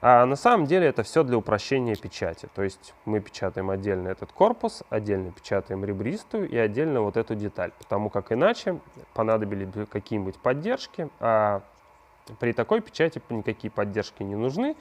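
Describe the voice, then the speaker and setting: A man's voice: on the low side, big pitch swings, a little fast. A man hosting a craft channel, explaining a prop build step by step in a conversational way and posing questions to the viewer. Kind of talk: hands-on prop-making tutorial narration.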